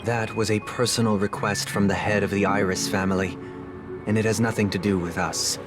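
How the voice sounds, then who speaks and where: Low, solemn young gentleman, played by an actor. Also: flat delivery, natural voice. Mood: stern. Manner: formal, measured, grave. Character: solemn, composed, protective brother, young gentleman. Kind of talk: video game voice acting. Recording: loud music behind the voice.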